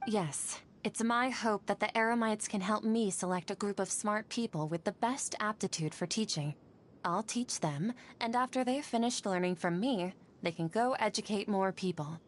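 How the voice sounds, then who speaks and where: A woman's voice: medium pitch, moderate pace, slight background noise, close to an everyday voice. A woman playing an earnest, idealistic scholar and teacher in a video game, polite and articulate. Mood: earnest, hopeful. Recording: music under the voice.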